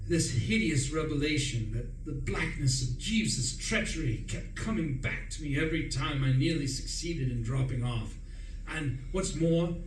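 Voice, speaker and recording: A man playing an excitable upper-class gentleman who narrates a radio play in a breezy, self-dramatizing way. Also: medium pitch, natural voice. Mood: rueful storytelling. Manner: breezy, self-dramatizing, indignant when crossed. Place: live performance in a room, distant mic. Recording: roomy, distant, faint noise.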